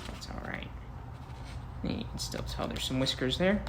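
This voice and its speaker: A man's voice: mid-range voice, unhurried, noisy background. An American man, a first-grade teacher, gives a casual, playful craft demonstration for young children, talking to them as he works.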